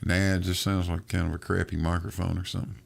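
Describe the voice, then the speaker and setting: A man hosting a live stream, chatting casually, joking, and quick to agree with his guests. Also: sits low, little pitch movement, vocal fry. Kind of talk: casual call-in chat.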